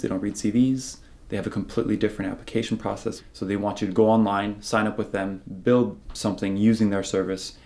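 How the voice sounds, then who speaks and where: Man, flat intonation, creaky voice, articulate, measured, documentary interview. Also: on the low side. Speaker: job seeker interviewee. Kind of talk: documentary interview, reflective opinion.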